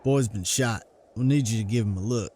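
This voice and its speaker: A man's voice: on the low side, a little mellow, slight background noise, lightly acted. A man playing a helpful, plain country farmhand with a Southern American accent in a motion comic. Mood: urgent. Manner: halting, folksy. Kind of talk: dramatized motion comic dialogue.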